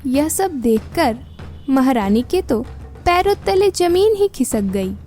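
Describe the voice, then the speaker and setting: A woman's voice: high-pitched, very animated, slow pace, warm tone. A woman reading scripted storybook narration as the narrator. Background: music.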